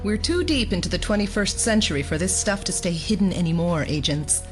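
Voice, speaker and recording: An actress playing an exasperated, authoritative federal assistant director in an audio drama, clipped, commanding and drily sardonic. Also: female voice, lowish voice, lively intonation, brisk, soft background music, close to an everyday voice. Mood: stern, sardonic. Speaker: senior FBI official.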